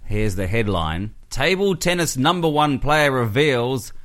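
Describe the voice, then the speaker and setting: A man's voice: medium pitch, animated, measured pace, a bit bright. A man co-hosting a casual sports podcast, chatting and reading news items aloud with jokes. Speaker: podcast co-host.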